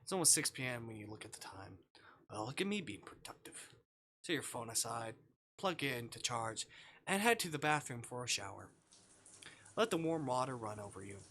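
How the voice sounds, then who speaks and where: A man's voice: medium pitch, sing-song, a little fast, bright, forceful tone, slight background noise. A man live-streaming a visual novel, reading it aloud expressively and breaking into casual, sweary, exclamatory reactions.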